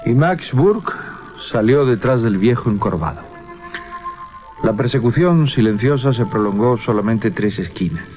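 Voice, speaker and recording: An actor narrating a horror radio drama as an ominous storyteller, with suspenseful pauses, repetitions and a Spain accent. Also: male voice, medium pitch, lively intonation, faint music behind, close to an everyday voice. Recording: muffled 1980s radio recording.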